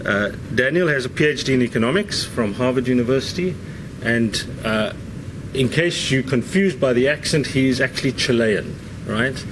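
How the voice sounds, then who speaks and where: A man introduces a guest at a university seminar. He speaks at a middle pitch in a loose, conversational way, tagging his sentences with "right?" and filling pauses often. Clear noise runs underneath his voice. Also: lively intonation. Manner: conversational, frequent uh fillers and "right?" tags. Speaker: seminar host.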